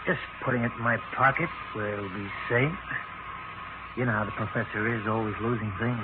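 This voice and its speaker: An actor playing a sly, bold lab assistant and seducer, insistent and colloquial, in 1940s radio drama style. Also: male voice, fairly low pitch, fairly quick, lightly acted. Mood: amused, sly. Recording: very noisy, narrow-band vintage radio recording.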